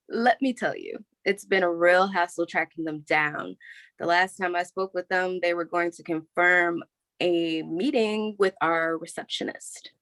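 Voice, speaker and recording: A woman playing a composed, polite saleswoman giving a measured report in a comedy web series. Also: lowish voice, fairly bright tone, dry recording.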